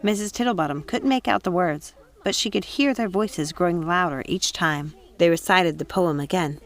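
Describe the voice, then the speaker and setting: A woman's voice: fairly low pitch, normal speed. A woman reading an audiobook as a dramatic, suspenseful narrator. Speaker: audiobook reader. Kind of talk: dramatic scripted story narration.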